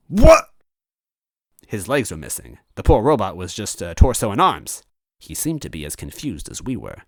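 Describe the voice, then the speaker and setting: A man reading a story aloud as narrator in an animated style, close on the microphone. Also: sits low, no echo. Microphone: close microphone, dry.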